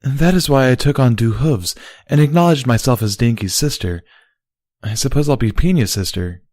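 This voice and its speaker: A man's voice: medium pitch, lively intonation, normal speed, a little mellow, no room sound, studio quality, voice pushed up, natural voice. A man voicing a defiant young female pony in an audio story, casual and plainspoken. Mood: earnest.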